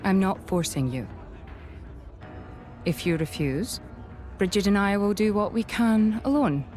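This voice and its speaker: Woman playing dutiful game commander, composed, mid-pitched, formal phrasing, faint music. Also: darkish tone, close to an everyday voice. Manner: formal, measured. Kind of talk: video game voice acting.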